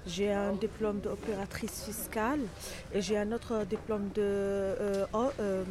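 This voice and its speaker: A middle-aged woman, a native Arabic speaker, answering interview questions haltingly in non-native French, searching for words. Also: mid-range voice, flat delivery, a crowd in the background. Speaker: interviewee, home care worker.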